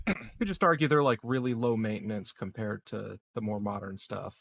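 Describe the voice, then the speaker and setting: A man's voice: lowish voice, moderate pace, gravelly, fairly bright tone, no room sound, studio quality. A man chatting casually as a player in a tabletop roleplaying game.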